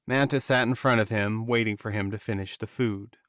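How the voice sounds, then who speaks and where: Even-voiced man narrating an audiobook. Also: lowish voice, fairly quick, dry recording, dull audio. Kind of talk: scripted narration of a fantasy romance novel.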